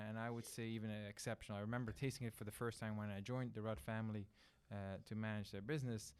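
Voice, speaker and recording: A man's voice: fairly low pitch, clear, resonant tone. A middle-aged man from the southern Netherlands, a Dutch speaker talking English, explaining at length as an interview guest with fillers and restarts. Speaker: winery managing director, guest. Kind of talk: conversational interview.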